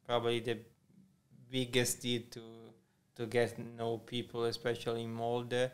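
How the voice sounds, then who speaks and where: Male interview guest, mid-pitched, hesitant, short answers. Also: monotone, measured pace. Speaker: podcast guest. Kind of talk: casual interview.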